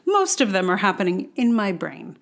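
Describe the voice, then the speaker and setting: A woman's voice: mid-range voice, sing-song, moderate pace, vocal fry. A woman hosting a blogcast, reading her personal essay in a reflective, conversational way with wry, self-deprecating asides. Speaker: blogcast host and writer.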